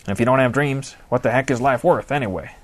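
A male narrator voicing a gruff, ornery older man with a folksy way of talking. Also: mid-range voice, quick pace, pitched above the performer's own voice, lightly acted. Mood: earnest. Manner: gruff, loud, folksy banter.

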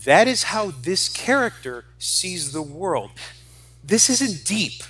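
A man's voice: high voice, sing-song, slow, a bit bright, breathy edge. A man in his forties co-hosting a podcast, chatting casually and emphatically about pop culture, often repeating phrases as he goes. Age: 40s. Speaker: podcast co-host.

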